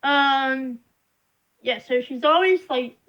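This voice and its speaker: Young woman, high voice, slow unhurried delivery, frustrated rambling vlogger. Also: a bit bright, muffled recording. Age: young adult. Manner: frustrated, rambling, emphatic complaining. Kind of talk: casual venting monologue.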